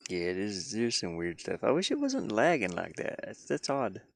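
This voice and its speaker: A man chatting casually on a live stream as he works on a game, halting and muttering his thoughts aloud. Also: fairly low pitch, wildly swooping pitch, vocal fry, no echo. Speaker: hobby game developer streaming his work. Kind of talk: casual live-stream chat while working.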